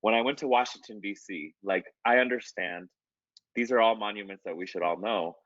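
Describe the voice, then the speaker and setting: A man's voice: lowish voice, a little fast, fairly bright tone, no room sound, dull audio. A man, an artist, answering interview questions in a loose, conversational way, with many fillers and restarts. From United States.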